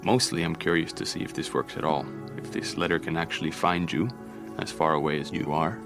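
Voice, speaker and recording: A man's voice: rumbling low, fairly even intonation, a little fast, over music. An actor playing a shy, lonely Colombian man writing a hesitant letter in an audio drama. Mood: wistful. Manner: hesitant, self-deprecating.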